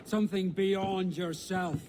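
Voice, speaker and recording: Slow, high-voiced smug turncoat pirate. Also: male voice, steady tone, background noise. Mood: condescending. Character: smug, haughty turncoat pirate, preaching order. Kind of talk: video game cutscene acting.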